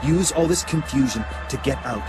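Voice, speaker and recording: Mid-pitched, businesslike male rebel leader, played by an actor. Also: animated, normal speed, close to an everyday voice. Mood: businesslike, purposeful. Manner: direct, businesslike. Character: purposeful male rebel leader giving instructions. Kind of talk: scripted game dialogue, mission briefing. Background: prominent music.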